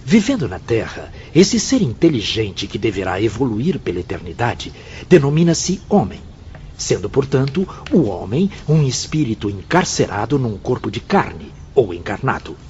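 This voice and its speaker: An actor playing an earnest spirit pupil who reads precepts formally and emphatically for a radio drama. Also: male voice, mid-range voice, big pitch swings, moderate pace, darkish tone, close to an everyday voice. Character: Mário, earnest, obedient male spirit pupil reading precepts. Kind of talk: solemn radio drama recitation. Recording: dull recording with faint background noise.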